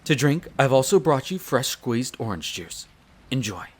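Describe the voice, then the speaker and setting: A man plays a male wolf butler in an audio roleplay. He speaks formally, courteous and attentive, deferring to those he serves in a mid-pitched voice. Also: big pitch swings, normal speed. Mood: polite, attentive.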